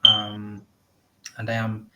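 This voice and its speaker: Young Nigerian man, guest on a video call, even and monotone delivery, earnest. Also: fairly low pitch, slow, heavy background noise. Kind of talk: measured interview answers.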